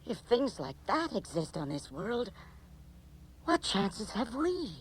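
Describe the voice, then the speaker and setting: A woman's voice: on the high side, sing-song, takes it slowly. An actress playing an anxious, questioning woman on an alien expedition, in a dramatic 1980s radio drama style. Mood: worried. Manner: dramatic, theatrical. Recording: noisy radio drama recording.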